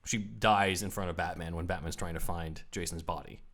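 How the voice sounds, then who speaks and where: A man co-hosting a casual podcast, explaining things quickly and laughing often. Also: sits low, steady tone, fairly bright tone. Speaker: podcast co-host. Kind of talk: casual chat.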